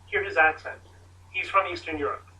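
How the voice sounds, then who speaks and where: A Canadian man answering press questions emphatically and telling animated stories. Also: on the high side, fairly quick, far from the mic, rough recording.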